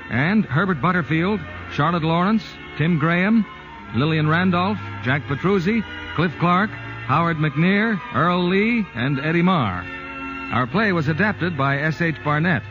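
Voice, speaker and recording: Male 1940s announcer over clear music. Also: a little high. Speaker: radio announcer. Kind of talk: 1940s radio announcing, scripted. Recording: dull old radio broadcast over music.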